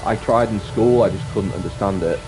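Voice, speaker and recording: A man with a deep voice chatting casually on a livestream, rambling reflectively and often restating his phrases. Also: fairly quick, poor audio. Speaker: streamer and actor. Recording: music playing behind the voice. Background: clear background music.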